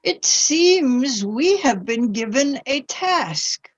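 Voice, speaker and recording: A woman's voice: sits high, animated, drawn-out words, lo-fi sound, exaggerated voice. A woman playing a curious ghost from the 1820s with a formal, inquisitive manner. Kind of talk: community radio play acting.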